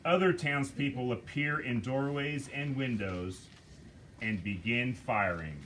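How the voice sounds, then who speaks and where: A man reading the stage directions aloud at a script table read, measured and deliberate. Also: mid-range voice, fairly bright tone, a little hiss or hum, rough recording.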